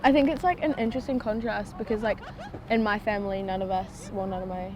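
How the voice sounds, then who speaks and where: A woman's voice: medium pitch, fairly even intonation, quick pace, a crowd in the background. A slam poet woman with a New Zealand accent speaking earnestly. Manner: earnest, heartfelt. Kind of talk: casual documentary talk.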